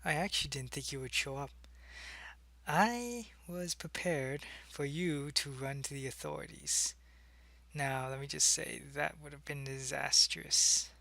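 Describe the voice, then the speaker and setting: A man's voice: fairly high pitch, unhurried, creaky, bright, forceful tone, no echo, exaggerated voice. A man playing an earnest, secretive alien agent in an ASMR roleplay, talking to a human in a hesitant, explanatory way. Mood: earnest, cautious. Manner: hesitant, explanatory, intimate one-sided conversation. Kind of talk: ASMR roleplay, acted monologue to the listener.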